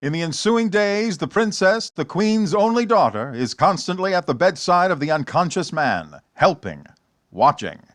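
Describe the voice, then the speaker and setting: Guy doing a 1940s radio serial narrator, theatrical, highish voice, dry sound. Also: very animated, normal speed, fairly bright tone, no echo. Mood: grand, dramatic. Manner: grand, theatrical storytelling. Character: dramatic male narrator of a superhero radio serial.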